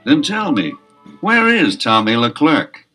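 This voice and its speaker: An actor playing an anxious, demanding sports league president in a 1970s children's audio adventure, pressing and insistent. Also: male voice, a little high, very animated, takes it slowly, pushed and bright, soft background music, full character voice. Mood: worried, demanding.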